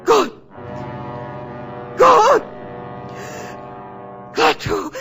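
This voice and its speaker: An actress playing a frightened, earnest young woman in an old radio drama, breathless and highly dramatic. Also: drawn-out words, gravelly, fairly bright tone, exaggerated voice. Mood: terrified. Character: frightened earnest young woman facing a menacing alien robot. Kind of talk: 1950s radio drama acting. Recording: old radio broadcast with music behind.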